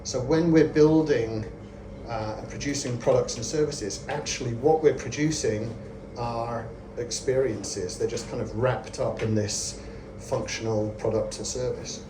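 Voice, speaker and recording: Man, 40s, conference speaker; conversational, filler-heavy delivery, mid pitch, echoey venue. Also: unhurried. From Scotland. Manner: friendly, self-deprecating, conversational with many fillers. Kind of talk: lightning talk at a meetup. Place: event venue with audience. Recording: echoey room with ambient noise.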